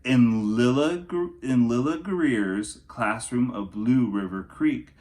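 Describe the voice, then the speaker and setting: A man reading a children's storybook aloud in an expressive, theatrical way, with playful, casual asides. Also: mid-range voice, takes it slowly.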